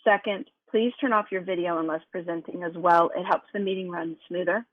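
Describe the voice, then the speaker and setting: A woman chairing a committee meeting on a conference call, her voice a little hoarse from allergies, laying out ground rules in an organized way. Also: lowish voice, steady tone, fairly quick, no room sound. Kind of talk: formal meeting opening. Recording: tinny conference call line.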